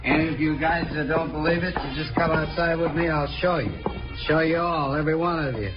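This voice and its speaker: An actor playing a boastful, belligerent American drunk in a 1950s radio crime drama, slurring and blustering out threats. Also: male voice, a little high, fairly quick, lightly acted. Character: belligerent, boastful, drunken short stocky male thug. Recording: dull vintage radio recording with music.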